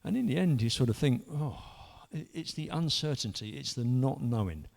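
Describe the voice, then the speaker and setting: A man's voice: mid-range voice, lively intonation, moderate pace, vocal fry, a little mellow. A man, earnestly telling his own story in a rambling way, full of restarts. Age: 50s. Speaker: church member sharing testimony. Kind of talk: personal testimony.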